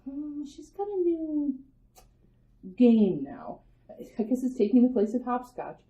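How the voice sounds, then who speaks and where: A woman playing a mild, patient mother in an amateur radio drama, gentle and indulgent with her child. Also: high-pitched, very animated, unhurried. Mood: chatty. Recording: muffled lo-fi recording.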